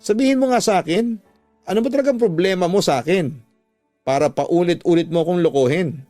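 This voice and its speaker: A man's voice: high-pitched, fairly quick, warm tone, no echo, faint music behind, pitched above the performer's own voice, natural voice. A man voicing a tearful, angry young woman confronting her cheating boyfriend in a radio drama. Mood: tearful, accusing. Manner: accusing, wounded.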